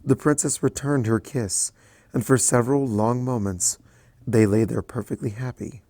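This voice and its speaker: Man, story reader, soft even delivery, mid pitch, dry close sound. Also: normal speed, no room sound. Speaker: fanfiction reader. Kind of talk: reading a story aloud.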